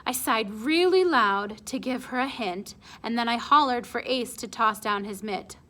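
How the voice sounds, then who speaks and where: A woman reading a children's chapter book aloud in an expressive storytelling style. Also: fairly high pitch, moderate pace. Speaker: storybook read-aloud reader.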